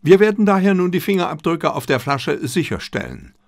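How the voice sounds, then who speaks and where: A confident male detective played by a male narrator holds forth in an audiobook. His delivery is deliberate, courteous and showmanlike, with lively swings in pitch. The sound is dry and close. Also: dry recording. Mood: assured, explanatory. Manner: deliberate, emphatic, polite, showmanlike. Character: confident, theatrical male detective addressing suspects.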